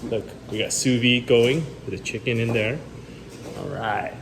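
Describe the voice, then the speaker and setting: Easygoing firefighter dad, echoey station. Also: male voice, medium pitch, steady tone, measured pace. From Los Angeles. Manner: easygoing, explains plainly with fillers. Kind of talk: casual career-day talk to children. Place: fire station. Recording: echoey station room, far from the mic, noisy.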